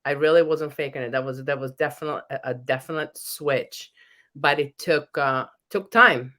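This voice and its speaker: A woman's voice: sits low, moderate pace, pushed and bright. A middle-aged woman from Hungary with a Hungarian accent, a professor and author talking earnestly as a podcast guest, often restarting her sentences. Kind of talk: podcast interview.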